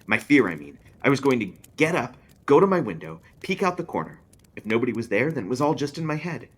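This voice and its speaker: Man playing an uneasy, animated confessor. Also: mid-range voice, quick pace, breathy edge, voice pushed up. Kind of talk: audiobook-style character voice in a horror story.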